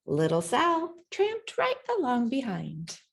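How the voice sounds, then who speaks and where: A woman reading a children's picture book aloud in an expressive storytelling manner, acting out the sounds and dialogue. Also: a little high, takes it slowly, creaky, husky. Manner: animated, acts out sounds and dialogue. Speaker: storybook reader.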